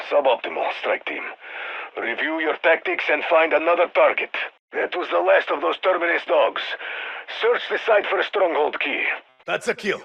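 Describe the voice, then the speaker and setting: Stern Russian military commander, husky, dull radio sound, terse orders, battle-hardened, video game mission handler. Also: male voice, fairly high pitch, exaggerated voice. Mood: terse, low-key. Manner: terse, commanding, formal clipped phrasing.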